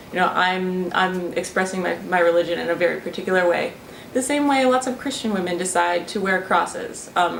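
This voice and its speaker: A young woman with a low, gravelly voice talks through her research, pausing on fillers and restarting her sentences. She sounds roomy and a bit distant from the mic. A faint ambience sits behind her. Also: a little hiss or hum. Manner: thoughtful, with frequent fillers and restarts. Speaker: student researcher. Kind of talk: interview talk.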